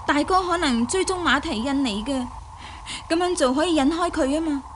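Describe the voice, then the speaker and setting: A woman's voice: high voice, close to an everyday voice. An actress playing a shrewd, bold young swordswoman, assertive and protective, in Hong Kong Cantonese radio drama. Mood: urgent. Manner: assertive, quick-witted. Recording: faint background noise, old radio drama.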